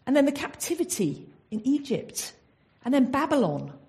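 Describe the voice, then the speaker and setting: A woman's voice: high voice, big pitch swings, moderate pace, dark tone. An English woman giving a Bible talk in an earnest teaching manner, often asking her listeners rhetorical questions. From England. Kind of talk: sermon.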